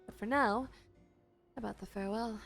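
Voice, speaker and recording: A woman with a fairly high voice reacts to a game as a streamer. Her commentary is casual and expressive, full of exclamatory asides. Faint music plays behind her. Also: normal speed, vocal fry.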